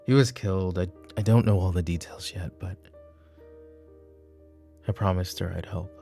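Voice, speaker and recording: Man playing a deep, gravelly, brooding mafia boss. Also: normal speed, dark tone, faint music behind. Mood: uneasy, brooding. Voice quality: gravelly, low. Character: weary, brooding male mafia boss and protective father. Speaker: voice actor. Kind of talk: roleplay ASMR audio drama.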